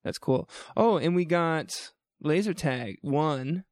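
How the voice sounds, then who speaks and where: A man's voice: fairly high pitch, expressive, a little mellow, no echo, clean studio sound. A young man from New Brunswick with a New Brunswick accent, co-hosting a casual podcast with playful, joking asides. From New Brunswick, Canada. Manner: jokey, playful, quick asides. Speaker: podcast co-host. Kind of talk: casual chat.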